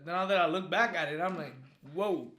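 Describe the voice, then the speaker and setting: A young man, born in Puerto Rico, telling stories in a relaxed, slangy podcast chat full of swearing. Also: sits high, moderate pace. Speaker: guest. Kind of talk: casual chat.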